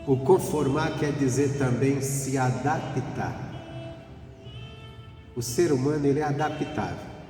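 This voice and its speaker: A man's voice: mid-range voice, slow pace, pauses often. A middle-aged man preaches informally as a pastor, telling anecdotes conversationally and repeating phrases for emphasis. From rural Pernambuco, Northeast Brazil. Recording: echoey hall, distant mic, faint music.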